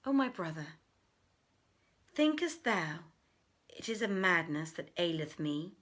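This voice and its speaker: A woman's voice: lowish voice, big pitch swings, slow, fairly bright tone, pitched below the performer's own voice, full character voice. A woman voicing a lovesick, proud young princess from an old tale, in plaintive, formal, archaic speech. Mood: hurt, proud.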